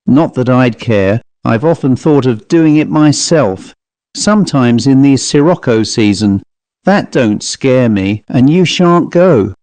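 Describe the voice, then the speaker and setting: A man's voice: medium pitch, animated, slow, darkish tone, no echo. A man voicing a self-possessed Cockney manservant with a controlled, wistfully reproachful manner in an audiobook story. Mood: insistent.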